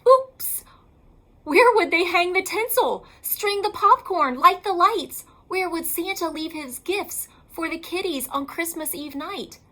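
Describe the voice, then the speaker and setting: Woman storyteller for a picture book: slow, deliberate pacing, high register, emphatic delivery. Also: expressive, bright, forceful tone. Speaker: elementary school teacher reading aloud. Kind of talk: expressive children's picture book read-aloud.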